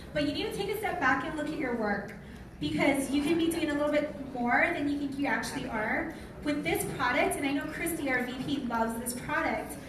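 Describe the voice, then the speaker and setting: An American woman giving a live product training, speaking informally and putting questions to her audience. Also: high-pitched. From lives in Utah, United States. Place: large room with an audience. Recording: echoey room, distant mic, noisy.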